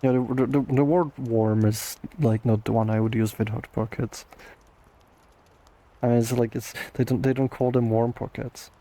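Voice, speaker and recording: A man's voice: medium pitch, steady tone, moderate pace, dark tone. A tired young man hosting a casual livestream Q&A, rambling with lots of fillers and restarts. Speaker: host answering viewer questions.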